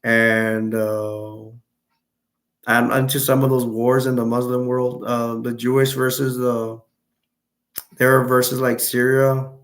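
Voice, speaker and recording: A young man from Texas chatting casually on a live stream, answering viewers in a rambling, halting way with many restarts. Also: medium pitch, flat delivery, fairly bright tone. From Texas, United States.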